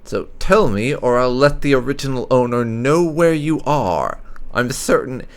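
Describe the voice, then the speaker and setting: A man's voice: medium pitch, lively intonation, a little slow. A man giving casual let's play commentary with some role-play, in a dry, blunt manner. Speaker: game streamer, player.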